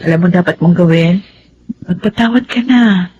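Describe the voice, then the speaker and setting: Woman acting as a mellow, caring young confidante. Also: lowish voice, moderate pace, natural voice. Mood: encouraging. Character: caring, devout young woman giving earnest advice. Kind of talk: Tagalog radio drama acting. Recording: dull, noisy lo-fi sound.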